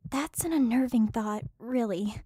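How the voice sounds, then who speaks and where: A woman acting as a young female fantasy adventurer. Her voice is high and polite, with a reasoning, matter-of-fact manner, recorded dry for an audio drama. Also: a little slow, warm tone, slightly rough, no echo. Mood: reasoning, uneasy. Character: Nyla, level-headed, gently doubting young female adventurer.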